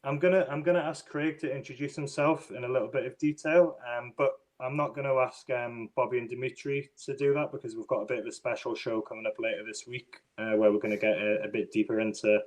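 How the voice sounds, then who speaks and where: A man with a Northeast English accent hosts a relaxed podcast chat. He often pauses on ums and restarts his sentences. His voice comes through a video call. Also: medium pitch. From northeast England. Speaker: podcast host.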